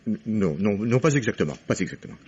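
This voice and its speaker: Husky, meek, henpecked husband. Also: male voice, lowish voice, sing-song, fast talker, a little mellow. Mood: hesitant, uneasy. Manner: stammering, hesitant. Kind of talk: 1950s French radio play acting. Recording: dull vintage radio recording.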